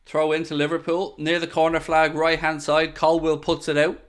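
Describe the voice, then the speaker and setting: Man, live match watchalong host, excitable commentary, jokey, smooth clear voice, slightly high. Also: moderate pace. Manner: excitable, jokey, addresses viewers directly.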